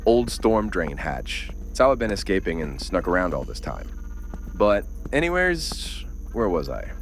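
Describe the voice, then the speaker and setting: A man's voice: sits low, very animated, unhurried, slight background noise, full character voice. An actor playing a middle-aged, weary and wounded survivor in a horror audio drama, wry and slangy as he guides someone. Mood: guarded, hushed urgency.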